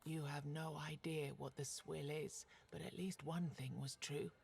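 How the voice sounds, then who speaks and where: A performer voicing a dark fantasy game storyteller, with a breathy, gravelly voice and scripted delivery. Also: medium pitch, fairly even intonation, measured pace. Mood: ominous.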